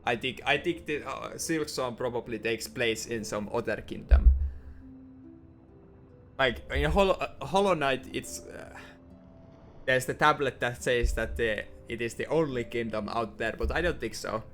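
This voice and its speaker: A man's voice: medium pitch, measured pace, a bit bright, faint music behind. A young man, being his language, commenting on a game as he plays it, thinking aloud and often restarting his words. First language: Finnish. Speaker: gaming YouTuber. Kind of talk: lore-focused game commentary.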